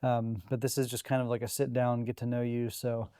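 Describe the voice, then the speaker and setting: A man's voice: mid-range voice, flat delivery, a little fast, warm tone, clear, resonant tone, no room sound, clean studio sound. A man hosting a church podcast, rambling through his intro with many fillers and easy laughs. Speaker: podcast host.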